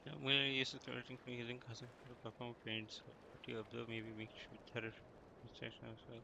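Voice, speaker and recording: Male game streamer, casual, terse muttering, medium pitch, clear background noise. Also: level tone, brisk. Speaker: game livestreamer. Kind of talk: casual gameplay commentary.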